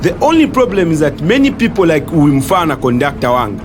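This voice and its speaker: A man's voice: on the high side, big pitch swings, brisk, background noise. A Zambian man acting in an audio drama as a confident, knowledgeable commuter who firmly corrects others. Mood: firm, informative. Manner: firm, corrective. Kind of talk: acted audio drama dialogue.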